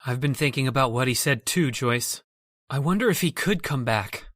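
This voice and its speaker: A man's voice: medium pitch, expressive, normal speed, a bit bright, dry recording. A man playing an uneasy character fascinated by the supernatural in an old-time radio horror drama. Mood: brooding.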